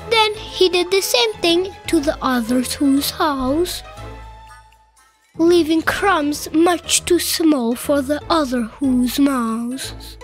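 A woman narrating a children's storybook in an expressive, theatrical read-aloud style. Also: sits high, takes it slowly. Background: background music.

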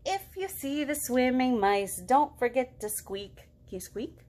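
A woman in her thirties reading aloud to young children as a storytime host, expressive and full of questions to draw them in. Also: high-pitched, unhurried, very noisy. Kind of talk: animated picture-book read-aloud for young children. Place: living room at home.

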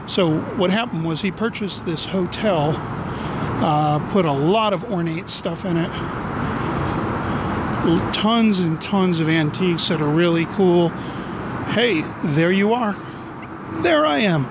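A man's voice: high voice, expressive, slow, long pauses. A man in his forties giving a casual, rambling, jokey walking tour as a vlog host, recorded on a handheld camera outdoors. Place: outdoors on city back streets. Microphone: handheld camera at arm's length. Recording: noisy outdoor street with traffic.

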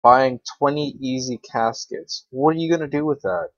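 A man's voice: mid-range voice, moderate pace, creaky, no echo. A young adult man giving casual gameplay commentary in an informal, grumbling, sweary way. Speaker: gaming video creator. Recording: dull lo-fi microphone, dry.

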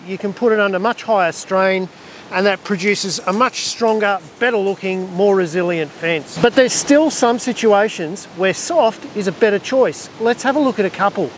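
A middle-aged Australian man with a fairly high voice walks through practical farm advice in a plain, friendly way. He is recorded outdoors beside a shed on a lapel mic. A faint rumble sits underneath. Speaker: farm educator host. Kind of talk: instructional farm video talk.

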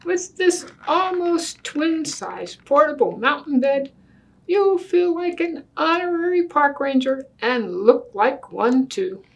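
Older woman playing a precocious little storybook girl, bossy, pompous sales pitch, unhurried, slightly creaky. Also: sing-song, exaggerated voice, poor audio. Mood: coaxing, firm. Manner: pompous, salesman-like, mock-reasonable.

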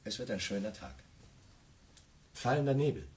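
An actor playing a disoriented, evasively polite husband in a radio play, speaking hesitantly and questioningly. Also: male voice, on the low side, sing-song, moderate pace, a little mellow, slight background noise.

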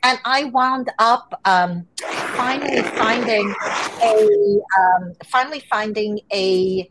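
A middle-aged woman speaks from her home on a video call, her voice sitting a little high. She tells her stories with animation, reaching for quoted voices now and then. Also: takes it slowly, heavy background noise. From Hong Kong and New York. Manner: animated storytelling. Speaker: guest author. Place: home room.

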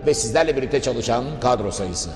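Man, TV host, formal wordy delivery, rapid pace, reverberant room, promotional interview. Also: medium pitch, animated, a little mellow. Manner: wordy, formal, addresses viewers and guest politely. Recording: echoey reverberant room, music behind. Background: background music.

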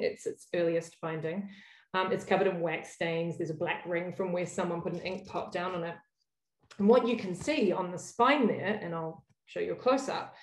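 A woman's voice: lowish voice, darkish tone. A middle-aged woman with a New Zealand accent gives a scholarly lecture over slides, thoughtful, with frequent ums and self-corrections. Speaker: academic speaker opening a workshop. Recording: roomy echoey sound.

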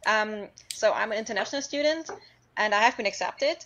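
A woman from Germany, asking a question on a video call, soft and hesitant. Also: medium pitch, a little fast. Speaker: caller. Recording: muffled, distant video call audio.

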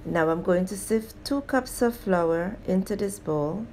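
A woman hosting a home cooking video, calmly narrating each step of a recipe and speaking to viewers as guys. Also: mid-range voice, measured pace, a little hiss or hum. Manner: plain, steady instructional tone addressing viewers as guys. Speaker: home cooking show host.

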